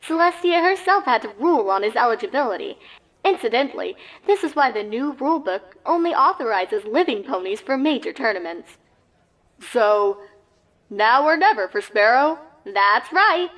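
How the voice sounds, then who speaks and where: A woman voicing an excitable pony sportscaster with punchy, straight-faced delivery, in a parody of live championship commentary. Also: a little high, moderate pace, voice pushed up, low-quality recording. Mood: keen, announcing.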